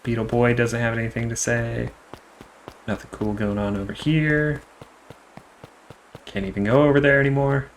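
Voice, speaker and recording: A man chats casually as he hosts a game playthrough. His medium-pitched voice sits over noticeable background noise and clicking. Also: steady tone, moderate pace, pauses often. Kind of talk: casual let's play chat, reading game dialogue aloud.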